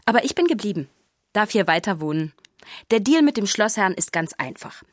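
An actress playing a chatty, easygoing castle maid who narrates a children's audio drama in a confiding, conversational way. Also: female voice, medium pitch, big pitch swings, fairly quick, no echo, studio quality. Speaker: first-person narrator. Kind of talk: scripted storytelling for children.

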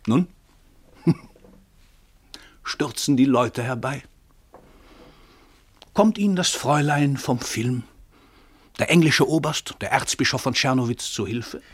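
An actor playing a pompous, cold-blooded famous crime writer in a radio play, declaiming in a grand, commanding way. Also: male voice, medium pitch, slow pace, pauses often, breathy edge. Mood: grandiose, triumphant. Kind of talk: German radio play acting.

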